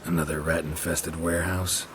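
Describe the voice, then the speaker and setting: An actor playing a gruff, weary, sardonic monster hunter in a video game, with a terse, deadpan delivery. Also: male voice, extremely low pitch, normal speed, a little hiss or hum. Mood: dry, matter-of-fact.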